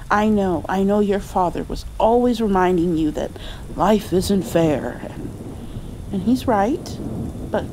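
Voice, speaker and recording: A woman playing a loving mother who comforts her grown child in a tender, hushed ASMR roleplay. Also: mid-range voice, slight background noise, poor audio. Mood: sympathetic, soothing. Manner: tender, soothing, sometimes dropping toward a whisper.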